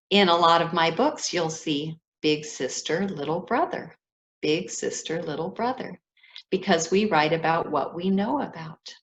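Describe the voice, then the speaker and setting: A woman's voice: on the low side, muffled recording. An older woman with an American accent, a children's book author telling personal stories in a talk for young listeners. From grew up in Ethiopia as an American child.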